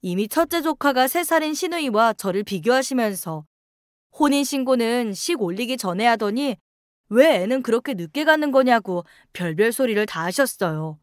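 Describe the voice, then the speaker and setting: High-voiced woman narrating a story. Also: lively intonation, moderate pace, dry recording, clean studio sound. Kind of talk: scripted first-person story narration.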